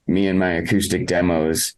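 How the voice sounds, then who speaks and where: Male musician for a podcast interview: very low voice, casual, halting, thoughtful delivery. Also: level tone. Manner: halting, reflective, thinking aloud. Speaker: interviewed musician, singer in a band.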